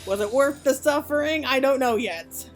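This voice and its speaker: A woman with a fairly high voice gives casual playthrough commentary on a video game. She talks informally as a streamer would, with light music behind her. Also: moderate pace. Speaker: gaming streamer reading game dialogue aloud.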